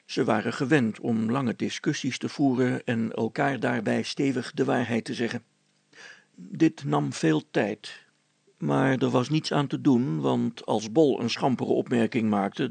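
A man narrates a scripted story in a gravelly, husky voice of medium pitch. The sound is close and very dry. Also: unhurried, a little mellow, no echo.

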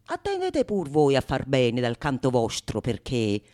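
Witty male painter, sly and teasing, animated swings of pitch, radio theatre played by a woman. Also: a little slow, heavily acted. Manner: sly, mock-impressed, theatrical.

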